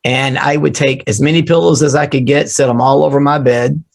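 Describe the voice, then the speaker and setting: A man who is losing his voice, hoarse, telling animated, joking stories about his drumming start as an interview guest on a remote call. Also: medium pitch, brisk. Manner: animated storyteller, jokey. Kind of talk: casual storytelling interview.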